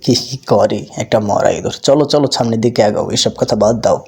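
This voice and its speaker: A man's voice: medium pitch, fast talker, creaky, lightly acted. A man voicing a skeptical, commanding king in a folk tale cartoon. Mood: doubtful, dismissive. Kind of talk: cartoon voice acting.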